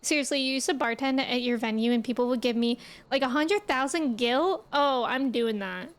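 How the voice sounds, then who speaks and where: A woman's voice: sits high, normal speed. A woman game streamer chatting casually with her viewers in a playful, teasing way. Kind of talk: casual livestream chat.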